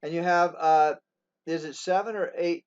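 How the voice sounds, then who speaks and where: A man in his sixties hosts a relaxed interview, his speech halting and full of small restarts. His voice sits rather high for a man. The recording sounds dull and lo-fi. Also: clear, resonant tone. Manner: halting, many fillers and restarts. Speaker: host. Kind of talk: casual interview.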